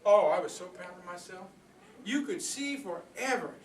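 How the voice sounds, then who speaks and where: Older man playing a high-pitched, folksy old rooster. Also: expressive, moderate pace. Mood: earnest, wondering. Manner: folksy, rambling, conversational storytelling with repeated phrases. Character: proud, philosophical old rooster turned activist, folksy and earnest. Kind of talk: comic monologue performed live for an audience. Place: indoor workshop room before a group. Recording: echoey room, distant mic, background noise.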